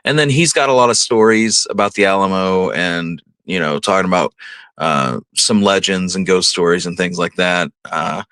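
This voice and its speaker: A man co-hosting a history show, talking casually and unscripted in an easygoing, halting way. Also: deep voice, a bit bright, clean tone, no echo. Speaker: co-host of an Old West history show.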